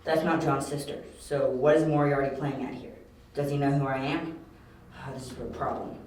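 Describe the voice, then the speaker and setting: A man's voice: mid-range voice, level tone, normal speed, a little mellow, close to an everyday voice. Man playing a wry undercover detective, very echoey. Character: quick-witted male detective undercover, wry and unflappable. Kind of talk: amateur audio drama acting. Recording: very echoey, distant, faint noise.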